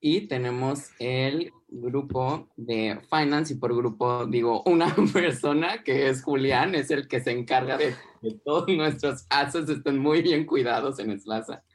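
A man's voice: medium pitch, measured pace. Young man, hesitant, lots of fillers. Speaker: student club representative. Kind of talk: casual radio chat.

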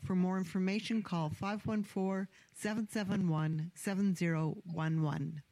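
A woman's voice: fairly low pitch, unhurried. Woman reading a radio ad. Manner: clear, even, promotional. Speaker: announcer. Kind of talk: radio ad read.

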